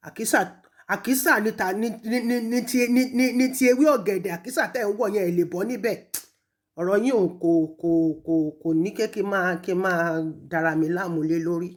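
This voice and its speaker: A woman with a Nigerian Yoruba accent, speaking accusingly and emphatically in an impassioned public rebuke, with pointed pauses. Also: mid-range voice. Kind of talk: impassioned public rebuke, switching between languages.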